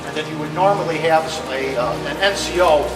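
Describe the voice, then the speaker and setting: A man's voice: on the high side, fairly quick. A middle-aged man gives an informal demonstration talk to a crowd at an outdoor reenactment. He explains plainly and often says "okay" and "uh". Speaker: living history reenactor presenter. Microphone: camera far from the speaker. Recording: distant echoey outdoor recording, loud music.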